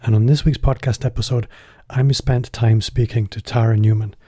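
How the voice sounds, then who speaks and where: Mellow-voiced male podcast host. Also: mid-range voice, steady tone, normal speed, husky, no room sound, studio quality. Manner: chatty, self-deprecating, loose phrasing. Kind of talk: podcast interview.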